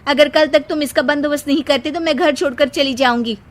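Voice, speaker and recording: Woman voicing a high, whiny scheming young wife. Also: steady tone, a little fast, close to an everyday voice. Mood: nagging, complaining. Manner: sweet-talking, complaining. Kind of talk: moral story cartoon voice acting.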